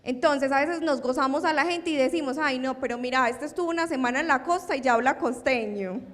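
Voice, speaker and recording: Woman, high voice, conference lecture, rhetorical questions, long hall reverb. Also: fairly quick. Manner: lively, asks audience rhetorical questions. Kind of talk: academic conference talk.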